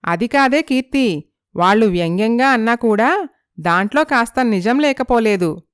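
A woman playing a sympathetic, gently persuasive young female friend in an animated moral story. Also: on the high side, moderate pace, smooth, no room sound, clean studio sound, close to an everyday voice. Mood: persuading.

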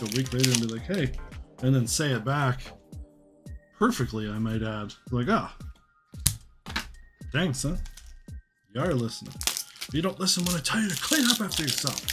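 A man with a mid-pitched voice talks casually and chattily over clearly audible music. He rambles along in an easy, slangy way, as if hosting a video from a table. He sounds middle-aged and relaxed in his delivery. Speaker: trading card shop owner hosting an unboxing video. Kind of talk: casual rambling chat while opening card packs. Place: indoor room at a card-opening table. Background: music, crinkling card packs.

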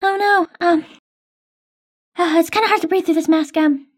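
A woman's voice: extremely high pitch, fairly even intonation, normal speed. A woman voicing a sick, timid young woman in an improvised game roleplay, hesitant and full of stammers. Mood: worried.

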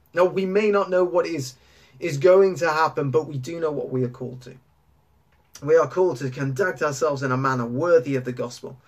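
A British man in his thirties, an earnest church pastor giving an informal, gently exhorting address and repeating key phrases. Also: a little high. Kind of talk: informal sermon and church update to camera. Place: small indoor room lined with bookshelves.

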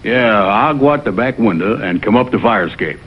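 A man voicing a fat, dim, good-natured animal sidekick in a vintage TV cartoon, folksy and slow-witted. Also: fairly low pitch, animated, slightly rough. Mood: cheerful. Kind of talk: 1960s TV cartoon voice acting. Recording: dull vintage TV audio, faint noise.

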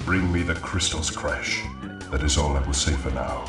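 An actor playing a pompous, scheming mad scientist in a video game, theatrical and mock-courteous. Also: male voice, a bass voice, moderate pace. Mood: grandly scheming. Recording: echoey and distant, music behind.

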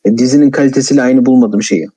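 A man's voice: mid-range voice, fast, dark tone, husky, low-quality recording. A man giving blunt, argumentative film criticism in a casual, colloquial conversation. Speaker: film commentator, video maker.

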